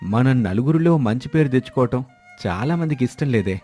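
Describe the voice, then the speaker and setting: A man's voice: mid-range voice, lively intonation, a little fast, dark tone, faint music behind, close to an everyday voice. A man playing a proud, touchy village husband in an emphatic moral story drama. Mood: put out. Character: proud, touchy village husband who gives too freely. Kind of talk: moral story character acting.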